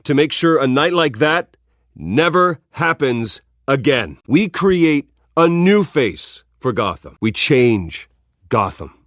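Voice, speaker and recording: An actor playing a composed, earnest billionaire heir who speaks in measured oratory and can turn defiant, in a video game. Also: male voice, medium pitch, very animated, dry recording, studio quality. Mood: solemn, earnest. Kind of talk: video game voice acting, public speeches.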